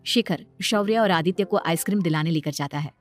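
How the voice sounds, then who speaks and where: A woman's voice: on the low side, a little fast. A woman storyteller delivers scripted narration for a moral story. Faint music plays behind her voice.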